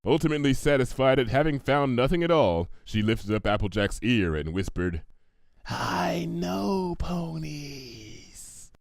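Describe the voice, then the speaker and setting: A man reading a story aloud as an expressive narrator. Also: medium pitch, fairly quick, hardly any pauses, dry recording, studio quality. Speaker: fan fiction reader.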